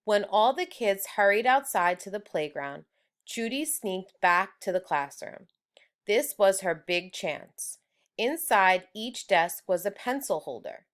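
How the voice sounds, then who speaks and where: A woman reading a children's story aloud in a deliberate, expressive storyteller's manner. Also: mid-range voice. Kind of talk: children's book read-aloud.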